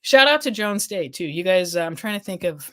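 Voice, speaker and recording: A woman hosting a casual legal news livestream, rambling with restarts and fillers as she reads viewer comments, her voice going hoarse. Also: lowish voice, expressive, fairly quick, sharp tone. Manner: rambling, many restarts and fillers, thinking aloud. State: losing her voice.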